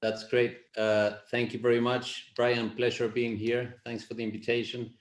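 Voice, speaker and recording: A middle-aged man from Argentina, speaking as a panelist in measured, slightly halting storytelling. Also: mid-range voice, monotone. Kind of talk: panel discussion. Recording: roomy echo.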